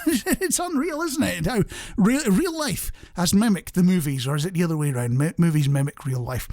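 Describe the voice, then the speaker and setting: A man's voice: high-pitched, expressive, moderate pace, a little mellow. A man hosting a light-hearted radio show, chatting in a jokey, self-deprecating way straight to the listener. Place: radio studio.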